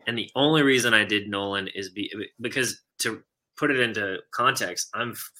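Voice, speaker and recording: A man from Texas hosting a relaxed podcast conversation, chatty and quick to laugh. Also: lowish voice. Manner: chatty, laughs easily, lots of fillers. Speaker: podcast host. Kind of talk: casual conversation.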